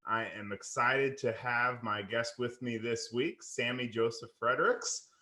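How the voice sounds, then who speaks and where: Bright-voiced male podcast host. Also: mid-range voice, fairly even intonation, a little slow. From United States. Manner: friendly, upbeat with fillers. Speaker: podcast host, wealth manager. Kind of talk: casual interview.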